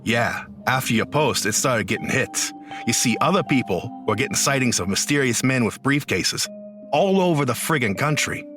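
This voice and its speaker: Animated, urgent American guy, played by a man. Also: medium pitch, moderate pace, music in the background, close to an everyday voice. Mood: exasperated, eager. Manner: emphatic, slangy, urgent. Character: excitable young male online sleuth recruiting a reluctant witness. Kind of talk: audio drama acting.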